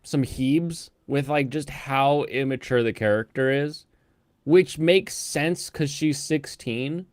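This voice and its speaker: A man casually reviewing anime with friends, rambling and animated, often slipping into mocking quoted voices. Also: mid-range voice, slow, no echo, studio quality. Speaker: host.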